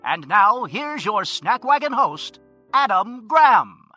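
Very high, piercing male intro announcer. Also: big pitch swings, slow, bright, forceful tone, dry recording, soft background music.

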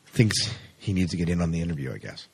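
A man hosting a podcast interview, chatty and quick to laugh. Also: sits low, sing-song, gravelly, slightly rough, dry recording. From United States. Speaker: podcast host. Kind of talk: casual interview.

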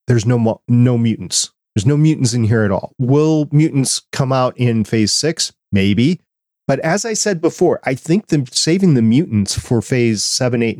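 A man's voice: mid-range voice, a little mellow, no echo, clean studio sound. A middle-aged man speaking as a podcast co-host in a casual fan discussion.

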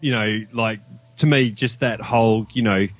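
A man's voice: fairly low pitch, steady tone, normal speed, no room sound, soft background music. A man with an Australian accent, talking casually as a guest podcaster in a film review discussion.

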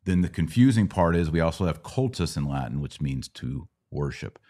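Middle-aged American man, very low voice, casual didactic explaining, podcast host, frequent check-ins. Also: sing-song, normal speed, mellow. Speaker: podcast host answering Bible questions. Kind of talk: casual explanatory religious teaching.

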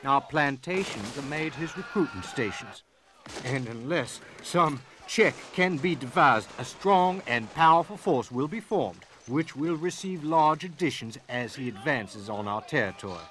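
A man's voice: medium pitch, lively intonation, unhurried, fairly bright tone, a little hiss or hum. A man playing a stern, calculating Confederate officer, delivering a military letter in a formal, declamatory theatrical reading. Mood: stern, urgent. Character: stern, calculating male Confederate officer reporting to his general. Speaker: actor in a dramatic reading.

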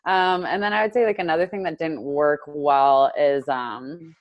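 A young woman from Indiana with a notably low voice talks in an easy, chatty way. She fills her sentences with filler words and laughs often. The sound is dry and close, with no room echo. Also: steady tone, normal speed, muffled recording. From United States, Indiana. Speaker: guest, digital marketer. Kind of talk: casual interview chat.

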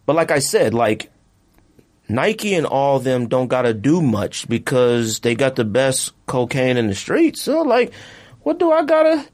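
Male podcast host, lively up-and-down delivery, streetwise casual talk. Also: unhurried. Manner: animated, slangy, jumps into high-pitched asides. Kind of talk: casual chat.

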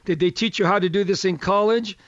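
An older man with a fairly high voice hosts a radio talk show, folksy and chatty and a touch hoarse. The sound is dry and close, with a slightly dull, muffled tone. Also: fairly even intonation, fairly quick, a bit bright, dry recording.

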